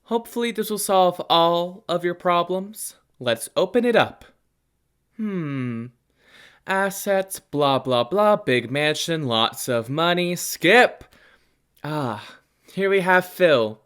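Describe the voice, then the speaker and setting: A young student playing a smooth, nosy talk-show host doctor in a radio play. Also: medium pitch, animated, slow, a bit bright. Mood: showy, steering. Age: teenager or young adult student.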